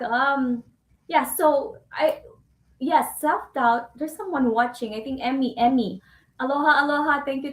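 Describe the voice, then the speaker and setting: A chatty woman hosts a live stream, often laughing and restarting her sentences. Her voice sits a little high. The sound carries a roomy echo. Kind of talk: casual live-stream chat.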